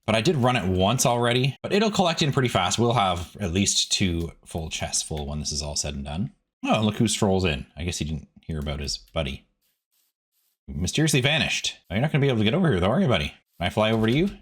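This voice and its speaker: Chatty gamer guy doing casual commentary, kinda low voice, really swings up and down. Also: sing-song, quick pace, breathy edge. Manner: chatty, rambling asides to viewers. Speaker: gaming video host.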